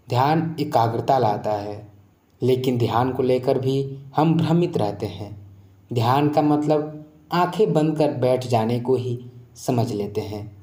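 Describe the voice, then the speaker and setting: Slow, echoey male spiritual narrator. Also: medium pitch, dark tone. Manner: measured, explanatory, reflective delivery. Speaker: narrator of moral story channel. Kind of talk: motivational spiritual narration, scripted.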